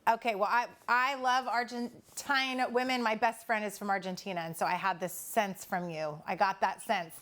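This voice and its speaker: A woman's voice: mid-range voice, steady tone, moderate pace, few pauses, a bit bright. A woman hosting a talk show, chatty and upbeat as she interviews a guest. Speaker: TV show host.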